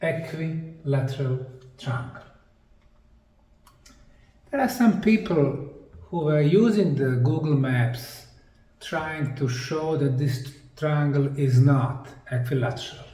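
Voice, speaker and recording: A man giving a lecture-style presentation, speaking deliberately in short, emphatic phrases with pauses. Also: on the high side, warm tone. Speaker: presenter, anthropologist. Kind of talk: slide lecture presentation on sacred geometry. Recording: distant mic in an echoey room.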